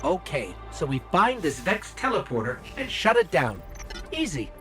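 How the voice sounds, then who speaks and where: An actor playing a small, plucky, talkative robot companion in a video game cutscene, earnest and inquisitive. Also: male voice, a little high, huge pitch swings, moderate pace, over music. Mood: businesslike.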